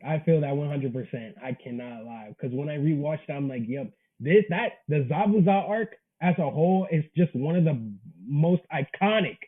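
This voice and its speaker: Husky young American man, muffled audio. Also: on the high side, moderate pace, hardly any pauses. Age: early 20s. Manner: agreeable, emphatic slang with repeated phrases. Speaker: interview guest, indie manga creator. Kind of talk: casual chat.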